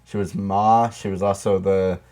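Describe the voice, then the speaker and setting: A man's voice: low voice, flat delivery, measured pace, a little mellow. A man co-hosting a podcast, chatting casually about movies and joking around with riffs. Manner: joking, riffing with mock quotes. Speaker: podcast co-host.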